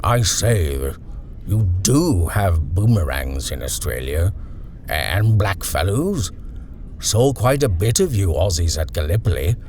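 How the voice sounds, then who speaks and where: A man's voice: deep voice, very animated, slow, a little mellow, slight background noise, heavily acted. A man voicing a jolly, tipsy old army major who blusters and bounces through his lines. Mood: boisterous.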